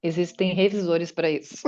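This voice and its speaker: A woman's voice: on the low side, a little mellow, highs cut off. A woman giving a conversational, faith-based motivational talk on an online call, often acting out her listeners' doubts. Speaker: guest speaker and writer. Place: online meeting. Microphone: video call.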